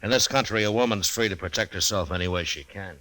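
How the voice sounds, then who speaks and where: An actor playing a stern, steady frontier marshal, measured and terse, in a 1950s western radio drama. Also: male voice, lowish voice, no echo. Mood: firm. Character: stern, steady frontier marshal who narrates his tale.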